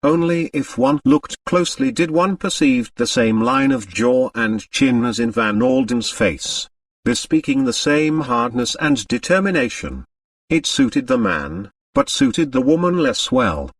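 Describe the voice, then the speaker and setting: Animated male audiobook narrator. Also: medium pitch, a little slow, creaky, no room sound, distant mic. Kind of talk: scripted dramatic narration of a novel.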